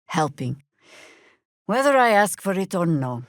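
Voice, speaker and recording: A woman playing a seasoned, wry, world-weary warrior druid in a video game, speaking in a dry, sardonic, measured way. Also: fairly low pitch, big pitch swings, no echo. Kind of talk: dramatic video game character dialogue.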